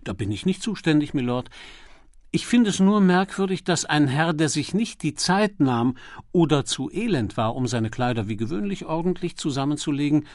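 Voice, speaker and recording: A man's voice: fairly high pitch, lively intonation, moderate pace, a little mellow, no room sound, natural voice. A man voicing a deferential, precise manservant with dry wit in an audiobook crime story.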